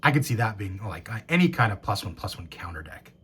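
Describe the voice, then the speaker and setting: A hoarse, raspy man in his thirties, a game store owner, chatting casually and excitedly as he opens packs and reads out cards. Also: fairly low pitch, fast talker, a little hiss or hum. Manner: enthusiastic, reads card names and rules aloud. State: hoarse after a cold. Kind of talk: casual box-opening commentary.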